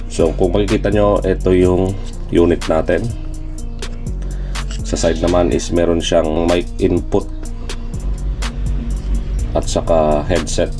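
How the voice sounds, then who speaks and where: A man with a Filipino accent giving a casual product review as a vlogger. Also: sits low. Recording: loud music behind the voice.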